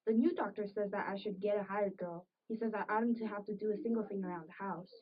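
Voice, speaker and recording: A woman's voice: mid-range voice, steady tone, fast, close to an everyday voice. A woman playing a sickly, bitter, complaining farm wife with old-fashioned rural phrasing in an amateur period drama. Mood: ailing, complaining. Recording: muffled audio, distant microphone.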